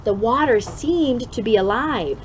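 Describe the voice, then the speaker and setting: A woman with a fairly high voice reads a children's story aloud in an expressive, deliberate way, leaning on important words. The recording is a bit dull and lo-fi, with clear noise behind her.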